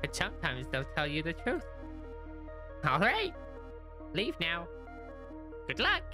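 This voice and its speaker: Woman voicing a tiny lonely creature: very high, squeaky, gentle, wistful; light music under. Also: wildly swooping pitch, slow, full character voice.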